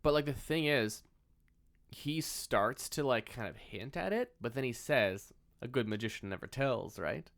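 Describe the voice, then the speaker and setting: A man's voice: medium pitch, measured pace, gravelly, a bit bright. A man co-hosting a podcast, chatting casually about films and chiming in with nitpicks and corrections. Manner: interjecting, nitpicking. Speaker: podcast co-host. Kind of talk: casual film discussion.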